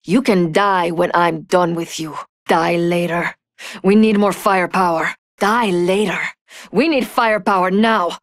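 Game heroine: proud, vengeful thief, lowish sultry voice, icy disdain, close dry recording. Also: female voice, measured pace, no echo. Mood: scornful, bitter.

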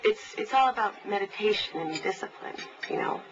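A woman playing a nervous, chatty mother and client in a naturalistic audio drama, halting and apologetic. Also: medium pitch, lively intonation, lightly acted. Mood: nervous, hesitant. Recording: lo-fi tape, noisy cafe.

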